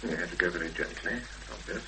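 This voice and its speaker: A man's voice: sits low, fast talker, close to an everyday voice. An actor in a 1970s radio drama playing a self-assured, analytical literary man who hesitates as he thinks aloud. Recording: noisy hummy 1970s radio broadcast recording.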